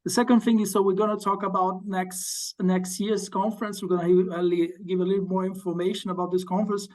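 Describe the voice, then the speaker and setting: A man, one of the journal's editors, moderating an online workshop, with quick thanks and hand-offs to each speaker. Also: high-pitched, little pitch movement, darkish tone.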